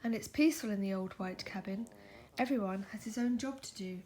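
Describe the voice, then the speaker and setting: A woman reading a picture book aloud in a soft ASMR style, gentle and coaxing, slipping into breathy whispers. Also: medium pitch, brisk.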